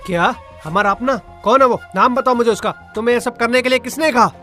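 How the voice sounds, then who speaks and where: A man's voice: extremely high pitch, fast talker, sharp tone, faint music behind. A man playing an earnest, dutiful young palace official in a cartoon, speaking firmly and formally. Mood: stern. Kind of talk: Hindi moral-story cartoon dialogue.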